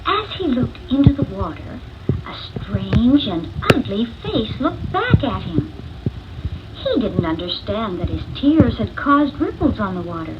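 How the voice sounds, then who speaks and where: A woman reading a children's storybook in an expressive, deliberate read-along manner. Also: on the high side, lo-fi sound, heavy background noise. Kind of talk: scripted children's storybook narration.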